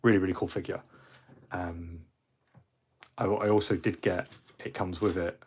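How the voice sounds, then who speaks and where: A man's voice: low voice, steady tone, moderate pace, a little mellow, breathy edge. A middle-aged man, hosting a hobby livestream in a rambling, friendly way, full of ums and restarts, a little fluey. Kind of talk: casual livestream chat.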